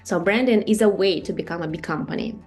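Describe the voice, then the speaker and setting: A woman's voice: medium pitch, fast talker, darkish tone, faint music behind. A woman from Russia with a Russian accent, giving an online marketing master class in a conversational way, with many fillers and rhetorical questions. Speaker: marketing and branding instructor.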